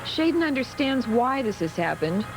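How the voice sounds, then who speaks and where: A woman's voice: on the high side, big pitch swings, moderate pace, over music, rough recording. A woman with an American accent reading scripted narration as a television magazine reporter.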